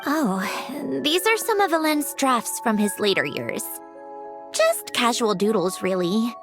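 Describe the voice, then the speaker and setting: Bright-pitched, nostalgic automaton girl. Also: sing-song, takes it slowly, over music, exaggerated voice. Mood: subdued, reflective. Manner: reflective, articulate, matter-of-fact. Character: nostalgic, brisk young female automaton, quietly wry. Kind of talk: video game character acting.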